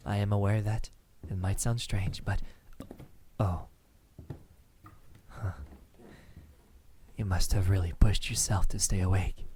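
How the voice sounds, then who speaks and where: Man voicing a courtly male vampire, tender and possessive, very slow, dark mellow tone, intimate ASMR roleplay. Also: low-pitched, fairly even intonation, slight background noise. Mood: gentle admiration. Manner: soft, slow, intimate, formal and courtly phrasing. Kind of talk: ASMR roleplay, anime-style romantic character acting.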